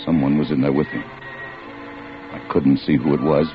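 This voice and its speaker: Low-voiced, sullen American tough guy. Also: fairly even intonation, unhurried. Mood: brooding, confiding. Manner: slangy, tough-talking, sullen. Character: bitter, defensive out-of-work husband telling his own crime story. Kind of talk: 1940s-50s American radio acting, hardboiled first-person narration. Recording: muffled vintage radio drama recording with music.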